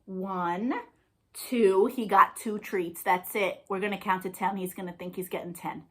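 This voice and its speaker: A woman's voice: mid-range voice, fairly even intonation, measured pace, fairly bright tone. A woman hosting a storytime for young children, reading aloud and chatting in an encouraging, sing-song way with questions and praise. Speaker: children's storytime host and librarian.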